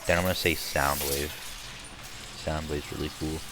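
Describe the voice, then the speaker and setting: Low-voiced raspy young man rambling. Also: flat delivery, moderate pace. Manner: rambling, chatty, many restarts and fillers. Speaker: gameplay commentator. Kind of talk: casual let's-play chat.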